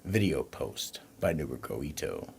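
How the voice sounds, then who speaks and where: Male mystery narrator, extremely low and gravelly, suspenseful delivery, slight hiss of noise behind. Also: big pitch swings, normal speed, darkish tone. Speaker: narrator and announcer. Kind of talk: dramatic scripted radio mystery narration.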